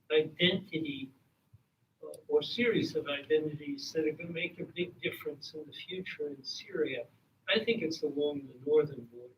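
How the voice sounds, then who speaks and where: A man speaking on a policy panel in a measured way with dry humor. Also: fairly high pitch, muffled recording. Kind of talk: panel discussion. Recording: distant mic, faint room noise.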